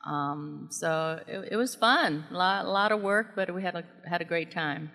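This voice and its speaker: A woman's voice: on the low side, creaky. A middle-aged woman with a General American accent, talking animatedly as a guest in a live stage interview. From came to the US as a child. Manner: animated, laughs often, quick asides. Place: stage at a live event hall. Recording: long hall reverb.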